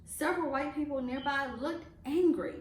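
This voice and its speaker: A woman reading a children's picture book aloud as a storyteller, expressively and with deliberate emphasis on phrases. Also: high-pitched. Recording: roomy echo, distant mic, faint noise.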